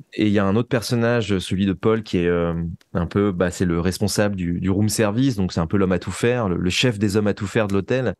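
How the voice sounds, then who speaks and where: A man's voice: fairly low pitch, a little mellow, no echo. A man speaking as an interview guest, a fiction writer answering conversationally and often searching for words and repeating himself.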